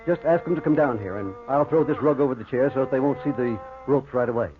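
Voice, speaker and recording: A man acting an earnest, excitable older doctor who narrates a ghost tale in old-time radio horror style, with dramatic, deliberate delivery. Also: medium pitch, narrow, tinny audio, music in the background, close to an everyday voice. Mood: shaken, earnest. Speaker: narrator and lead actor. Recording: thin, noisy vintage radio recording.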